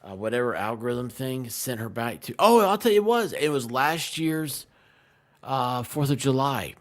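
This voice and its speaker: A man's voice: medium pitch, very animated, moderate pace. A man in casual, rambling chat on a live stream, telling jokey anecdotes and quoting what people said. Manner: jokey, tells stories by quoting people in exchanges.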